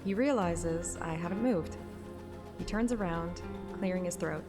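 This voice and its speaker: A woman's voice: lowish voice, measured pace, in a large hall, over music. A woman narrating a fantasy romance game story in an audiobook style. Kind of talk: audiobook narration with acted dialogue.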